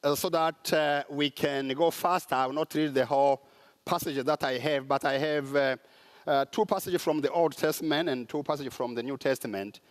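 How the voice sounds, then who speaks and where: A man with a Rwandan accent speaks from a conference stage in a preaching style. His voice sits a little high, and he often stops to search for the next word with an "uh.". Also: fairly even intonation. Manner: halting, with many "uh" pauses. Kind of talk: sermon-style conference talk. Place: large conference hall.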